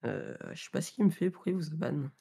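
A man's voice: on the high side, sing-song, quick pace, gravelly, mellow, breathy edge. A man talking casually on a voice chat, hesitant and apologetic, as a server admin. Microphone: voice chat over computer mic.

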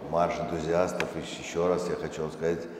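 Deep-voiced middle-aged man in an echoing hall. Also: flat delivery, brisk, heavy background noise. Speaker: museum director presenting an exhibition project. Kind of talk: live presentation to an audience. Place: large dark auditorium stage, indoors. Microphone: handheld microphone through hall speakers. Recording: echoey hall with long reverb, loud music. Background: archival music playing.

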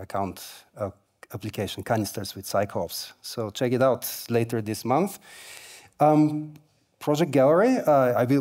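A man's voice: on the low side, darkish tone. A man in his forties giving a tech conference keynote on a large stage, speaking casually with many fillers and light humor through a headset mic.

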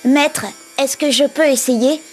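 A woman plays a young cartoon prince, a thoughtful and polite boy. The voice is high and slow, earnest and gentle, with faint music behind it. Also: fairly even intonation. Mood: eager, calm. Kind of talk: French animated film dub.

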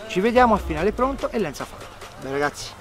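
A man's voice: high voice, fast talker, distant mic. Chatty man explaining fishing outdoors. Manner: lively, chatty, explains step by step. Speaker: fishing vlog host. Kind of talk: outdoor fishing tutorial and chat. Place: outdoors by a lake. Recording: background music under the voice.

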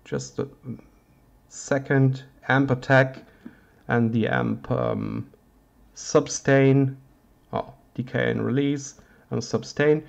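A man with a German accent, a native German speaker, giving an informal hands-on synthesizer tutorial, haltingly searching for words in non-native English. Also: mid-range voice, fairly even intonation, gravelly.

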